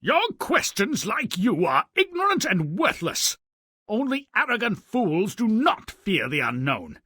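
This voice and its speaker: A man's voice: sits high, wildly swooping pitch, unhurried, bright, forceful tone, husky, no echo, studio quality, full character voice. An actor playing a haughty, fearful father who scorns his son in a fairy-tale video game, pompous and declamatory. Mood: disdainful.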